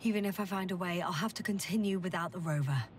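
A woman's voice: on the low side, fast, recorded from a distance, slight background noise, lightly acted. An actress playing a weary woman scientist on an alien planet in a video game, speaking in a solemn, murmured way. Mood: tense, focused. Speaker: space mission crew doctor. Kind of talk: dramatic video game voice acting.

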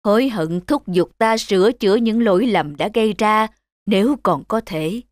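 A man voicing a calm spirit of death in a cartoon, speaking in a measured, philosophical, instructive way. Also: high voice, no echo, clean studio sound, lightly acted. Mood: solemn, advising. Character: calm male spirit of death, solemn guide to the dead. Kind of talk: cartoon voice acting.

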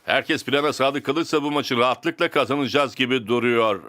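A man as a bright-voiced, steady football coach. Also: mid-range voice, normal speed, natural voice. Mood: steady, firm. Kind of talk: cartoon acting.

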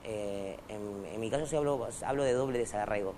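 A man's voice: fairly low pitch, fairly quick, mellow, a little hiss or hum. A middle-aged man from Argentina, raised in Bolivia, whose first language is Quechua, talking as a guest writer in a TV studio interview on a lapel mic, with many fillers and restarts. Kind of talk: casual interview talk.

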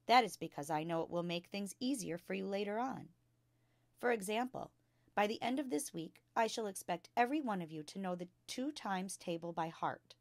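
A woman voicing a kindly, earnest young schoolteacher from a children's storybook, speaking in a clear, emphatic, instructing way. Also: mid-range voice, lively intonation, moderate pace, no echo, clean studio sound, natural voice. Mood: encouraging. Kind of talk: children's storybook read-aloud.

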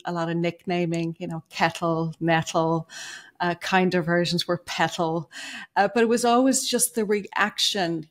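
Dublin-born woman, low, thoughtful and laughing. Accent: Irish, Dublin. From Dublin, Ireland. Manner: thoughtful, laughs easily, many fillers. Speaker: author guest. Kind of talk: conversational podcast interview. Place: home room, video call. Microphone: over-ear headphones, video call.

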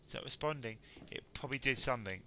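A man with a sore, croaky throat, nervously muttering his way through a horror game. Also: mid-range voice, fast, pushed and bright, slight background noise. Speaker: let's play gamer. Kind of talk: casual gameplay commentary.

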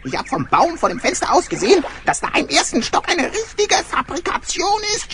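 An eager little bird scout from a children's cartoon radio play. The chirpy voice is high and leaps about. There is clear noise behind it. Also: male voice, huge pitch swings, brisk, heavily acted. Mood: eager, secretive.

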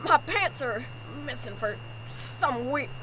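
Woman playing a high, whiny spoiled young master. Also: lively intonation, takes it slowly, a loud crowd, poor audio. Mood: demanding, petulant. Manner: petulant, childish. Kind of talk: improvised radio play acting. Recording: faint background noise.

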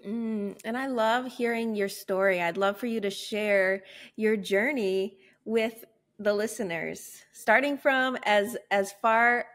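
A woman's voice: mid-range voice, slow. A woman hosting a podcast, reading an intro and then talking with a guest over a video call on a headset, upbeat and grateful. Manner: upbeat, warm thanks to guest. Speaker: podcast host. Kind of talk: scripted intro, then interview. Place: home office.

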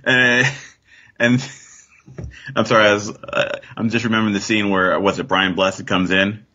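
A man's voice: lowish voice, level tone, a little slow, pushed and bright. A man co-hosting a casual pop-culture discussion, recorded in dull lo-fi audio. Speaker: podcast co-host.

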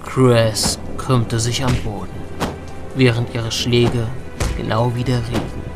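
A man's voice: mid-range voice, flat delivery, takes it slowly. A man giving dramatic, scripted narration for an audio drama. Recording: loud music under the voice.

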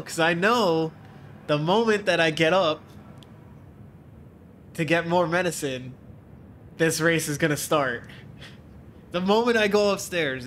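Young male streamer, congested, bright and forceful, chatty and joking with chat, home desk mic, faint hiss. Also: fairly high pitch. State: congested, stuffed-up nose. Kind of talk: casual live-stream chat with viewers. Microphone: desk mic, webcam framing.

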